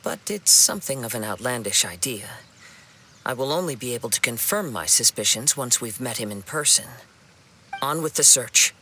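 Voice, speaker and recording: A man playing a calm, perceptive young wandering swordsman in a video game, speaking in a measured, formal and earnest way. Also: medium pitch, a little hiss or hum. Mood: watchful. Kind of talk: video game cutscene acting. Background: game music.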